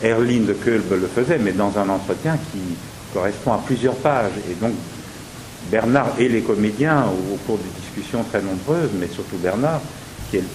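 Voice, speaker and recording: Low-voiced hesitant man, hissy recording. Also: moderate pace. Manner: hesitant, many restarts and fillers. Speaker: actor. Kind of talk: discussion of theatre work.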